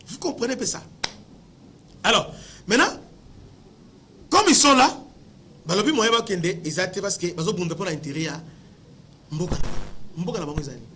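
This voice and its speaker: A man's voice: high-pitched, lively intonation, normal speed, long pauses, pushed and bright, slight background noise. A man with a Congolese accent giving impassioned political commentary, emphatic and full of rhetorical questions, often repeating words as he searches for the next. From Democratic Republic of the Congo.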